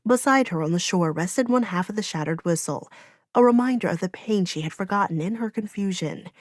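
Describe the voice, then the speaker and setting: A woman's voice: mid-range voice, lively intonation, fairly quick, no room sound. A woman reading a fantasy audiobook as narrator, in dramatic, solemn storytelling.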